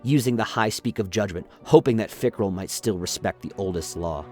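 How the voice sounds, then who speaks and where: A man with a lowish voice narrates a scripted radio play. His delivery is dramatic storytelling, set over a music bed. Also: brisk, warm tone.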